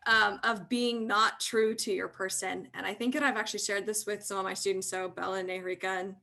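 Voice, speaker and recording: Gravelly, bright-toned woman teacher. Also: mid-range voice, level tone, brisk. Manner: hesitant, laughing, searching for words. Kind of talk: casual panel discussion.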